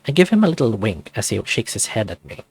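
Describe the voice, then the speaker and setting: Low-voiced, playful wolf guy read by a man. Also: sing-song, quick pace, darkish tone, husky, full character voice. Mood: cocky, playful. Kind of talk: first-person visual novel narration and dialogue.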